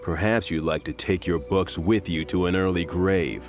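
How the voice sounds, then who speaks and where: A man's voice: sits low, fairly even intonation, moderate pace, over music. An actor playing a bullying, sneering henchman in a video game, talking in a menacing, mocking way. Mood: threatening.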